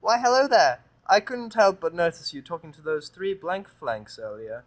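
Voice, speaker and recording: A man's voice: sits high, very animated, moderate pace, pitched above the performer's own voice. A man voicing a snobbish rich young filly pony who speaks in a prim, educated manner.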